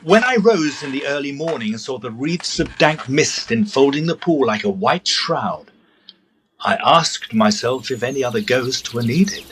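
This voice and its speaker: A man playing a brisk, sceptical gentleman ghost investigator who narrates a period audio drama with dry pomposity. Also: medium pitch, expressive, slight background noise, low-quality recording. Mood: dry, wry.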